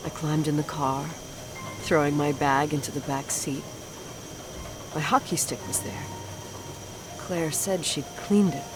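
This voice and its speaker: An actress playing a distraught grown woman haunted by a repressed memory, speaking in a hushed, halting, anguished way in a dramatic video game. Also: lowish voice, close to an everyday voice. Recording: clearly noisy ambience behind the voice.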